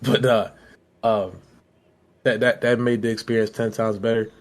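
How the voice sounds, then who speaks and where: A man from Philadelphia co-hosting a podcast, his voice a little hoarse from being sick, rambling with repeated words and "you know what I'm saying.". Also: on the low side, flat delivery, moderate pace. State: hoarse from illness. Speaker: podcast co-host. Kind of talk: casual chat.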